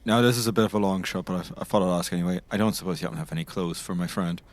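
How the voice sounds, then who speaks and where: A man's voice: low-pitched, rapid-fire. A man casually chatting and role-playing as a player in a tabletop game. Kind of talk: casual improvised role-play.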